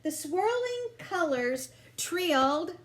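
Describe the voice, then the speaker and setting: A woman reading a children's picture book aloud expressively, drawing out words with deliberate, dramatic phrasing. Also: extremely high pitch, very animated, over loud music. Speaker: storybook reader. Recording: roomy home recording with faint noise.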